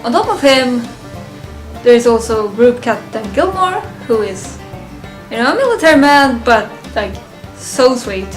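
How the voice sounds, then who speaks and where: A woman with a fairly high voice talks slowly through a casual fan review. She is unscripted and halting, working out her thoughts aloud and often chuckling, with some music behind her. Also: sing-song. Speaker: reviewer presenting a ranked list.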